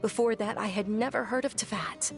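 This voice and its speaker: A woman voicing a gentle, earnest young woman who speaks in a soft, reflective way in a video game. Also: a little high, a little fast, gravelly, soft background music. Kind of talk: game voice acting.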